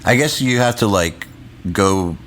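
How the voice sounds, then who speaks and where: Male podcast host, deep voice, laid-back storyteller, casual swearing. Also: unhurried, fairly bright tone. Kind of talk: casual chat.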